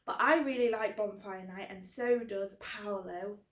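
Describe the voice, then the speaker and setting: A woman's voice: mid-range voice, a little slow. A British woman hosting a children's book club, talking simply and directly to young viewers. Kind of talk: children's presenting. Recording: echoey room.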